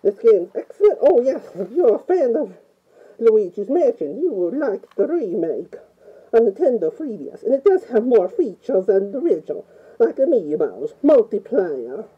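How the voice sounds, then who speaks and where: A woman's voice: a little high, very animated, normal speed, breathy edge, phone-quality sound, exaggerated voice. A woman playing a timid, easily scared Italian plumber man with a put-on Italian accent, rambling nervously through a game review with many "oh"s. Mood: nervous chatty. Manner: nervous, rambling, frequent "oh" and "mamma mia". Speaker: fan playing a video game character.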